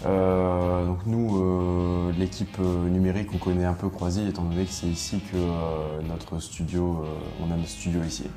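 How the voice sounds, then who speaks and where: A man asking questions as an interviewer, in a hesitant, halting and informal way. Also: low-pitched, little pitch movement, warm tone. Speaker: interviewer from a local digital media team. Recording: echoey room with faint music.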